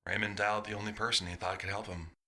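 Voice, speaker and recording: A man's voice: on the low side, level tone, quick pace, pushed and bright. A man narrating a scripted story in the third person.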